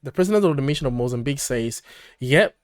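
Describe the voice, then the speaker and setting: A man co-hosting a podcast, giving conversational commentary and recapping in an animated, paraphrasing way. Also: mid-range voice, fast, dry recording, studio quality. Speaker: podcast co-host.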